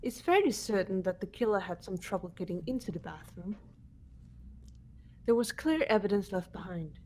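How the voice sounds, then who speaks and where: A woman playing a composed, analytical student detective, explaining in a measured way for a fan-voiced game. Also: medium pitch, expressive, darkish tone, a little hiss or hum, lightly acted. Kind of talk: fan voice acting of a video game script.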